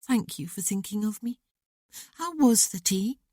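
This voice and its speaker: A woman reading as an earnest, talkative young woman with a voice lifted well above the reader's own, rising and falling eagerly. The tone stays mellow and the recording is close and dry, with no room sound. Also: mid-range voice, measured pace, breathy edge, dry recording, voice pushed up. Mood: earnest, wistful. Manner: lively, talkative, candid. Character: outspoken young woman, sensitive, earnest sister.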